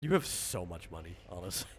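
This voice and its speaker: A man's voice: low voice, big pitch swings, normal speed, slight background noise. Man casually explaining game options. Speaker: game master. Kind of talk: casual tabletop character-creation session.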